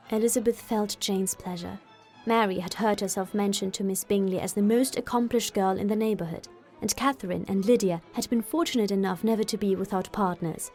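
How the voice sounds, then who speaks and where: A woman's voice: mid-range voice, mellow. A woman narrator reading a classic novel aloud as scripted audiobook narration.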